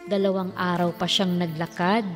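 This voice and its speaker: A woman's voice: on the low side, little pitch movement, normal speed. A woman storyteller reading a scripted children's fairy tale as narrator, with long reverb on the voice. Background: faint music.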